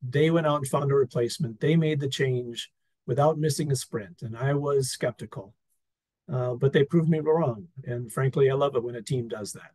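A man presenting an experience report over slides on a video call, talking in a relaxed, wry way with fillers and restarts. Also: mid-range voice, moderate pace, no room sound, low-quality recording. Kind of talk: conversational talk over slides.

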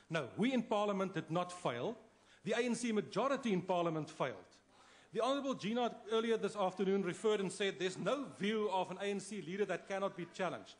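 A South African man, a long-serving member of parliament, giving a combative, emphatic debate speech at a podium microphone in a large chamber. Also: sits high. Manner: combative, emphatic, repeats for force.

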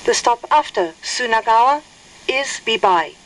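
A woman giving a scripted, formal train announcement in a careful, measured way. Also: a little high, expressive, a bit bright, poor audio. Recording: train speakers with faint noise. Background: train cabin hum.

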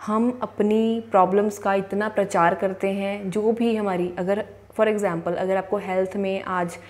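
A young woman gives an earnest motivational talk to camera, close and clear on a lapel mic. She slows down to repeat her affirmations, and her pitch sits in a middle range for a woman. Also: level tone, a little mellow. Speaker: law of attraction coach. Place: indoors, in front of a plain wall. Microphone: clip-on lapel mic.

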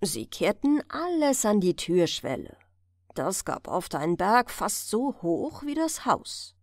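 A woman narrating a scripted fairy tale as a bedtime audiobook, expressive and carefully phrased. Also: mid-range voice, slow, no room sound, clean studio sound.

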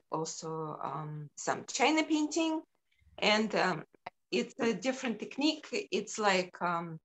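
A middle-aged woman from Ukraine walks through slides of her work on a video call. She speaks slowly, pausing often with ums and uhs. Her voice sits at an ordinary pitch. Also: fairly bright tone. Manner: unhurried, halting with frequent ums. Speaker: sculptor presenting her work.

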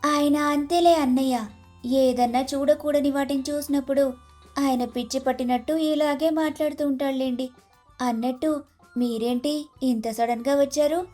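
A woman voicing a sharp-tongued, aggrieved young Telugu wife in an animated moral story. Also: sits high, normal speed, faint music behind, close to an everyday voice. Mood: wry.

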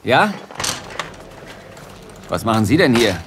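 Lebhafter, skeptischer Polizeisergeant. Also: male voice, medium pitch, very animated, takes it slowly, noisy background. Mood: incredulous. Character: gruff, sceptical male police sergeant. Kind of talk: German radio drama acting.

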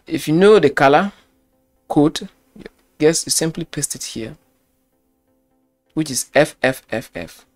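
Slow, methodical man teaching web design. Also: fairly high pitch, animated, faint music behind. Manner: slow step-by-step instruction, repeats phrases. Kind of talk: screen-recorded software tutorial.

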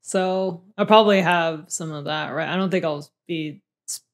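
A woman's voice: lowish voice, normal speed. Young woman, casual podcast chat, easygoing, laughs often, small room, mic on a stand. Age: young adult. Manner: relaxed, reflective, laughs often. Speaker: podcast co-host. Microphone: boom mic on stand.

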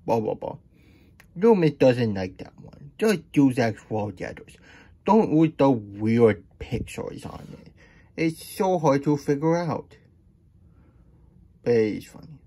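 Childlike, good-natured hedgehog puppet storyteller, played by a man: husky, unhurried, pitch swooping up and down. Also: big pitch swings, dark tone, heavily acted. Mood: puzzled, grumbling. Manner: speaks of self in third person, halting, folksy. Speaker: host reading a picture book aloud. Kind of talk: puppet storytime read-aloud with chatty asides.

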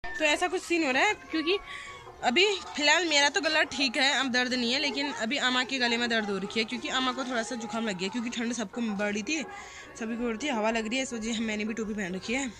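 A young woman from the hills of northern India talks to viewers in a chatty, homely way, filming herself with a phone held at arm's length. Her voice sits a little high. She is outdoors on a rooftop. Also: fast, over music. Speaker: vlogger. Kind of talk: casual vlog talk to camera. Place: rooftop outdoors in hill town. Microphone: phone camera at arm's length.

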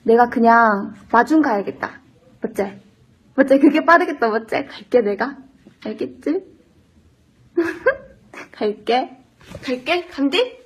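A woman's voice: high voice, lively intonation, slow pace, long pauses, husky. A young woman with a Gyeongsang Korean accent, talking in a cute, coaxing, giggly way as if on a call to a boyfriend. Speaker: idol singer recording a dialect clip. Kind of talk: sweet phone-call style aegyo in dialect. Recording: dull lo-fi sound.

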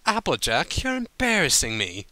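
Man acting fastidious cartoon unicorn mare, prim, firm, bright, lilting pitch. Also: huge pitch swings, dry recording, exaggerated voice. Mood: curt, embarrassed. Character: prim, fastidious, exasperated female unicorn pony.

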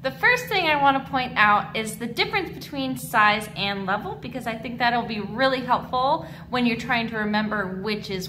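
A woman in her thirties teaching a dance lesson to children, with an animated, encouraging, clearly enunciated delivery. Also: on the high side, noisy background. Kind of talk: instructional video lesson for children. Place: large mirrored dance studio indoors.

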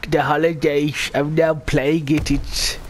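A man voicing an eighty-one-year-old pensioner who talks in slow, halting, stammering bursts over a game chat. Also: a little high, flat delivery, a little hiss or hum. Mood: doddering. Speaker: gamer pranking on voice chat.

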